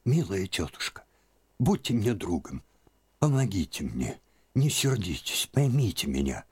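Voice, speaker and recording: A man doing a hushed, sorrowful young woman. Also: deep voice, big pitch swings, a little slow, husky, voice pushed up, full character voice. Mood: pleading. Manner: hushed, subdued, soft-spoken. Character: quiet, grieving young woman, devout.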